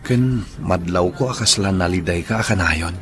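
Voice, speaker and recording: A man acting a shy, earnest young office worker in love with a coworker, soft-spoken, in a radio drama. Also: low-pitched, normal speed, faint music behind.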